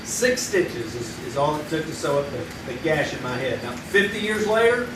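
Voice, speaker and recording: An actor in a live radio play, playing a middle-aged man who tells an animated childhood story around a campfire. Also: a little high, moderate pace. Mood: lively. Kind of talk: live old-time radio play acting. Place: live theater stage. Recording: echoey live theater from far off with room noise.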